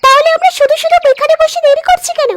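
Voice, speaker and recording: A woman's voice: extremely high pitch, fairly even intonation, fast, a bit bright. A woman voicing a playful, mischievous young crow chick in a cartoon, childlike and eager. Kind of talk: cartoon story voice acting.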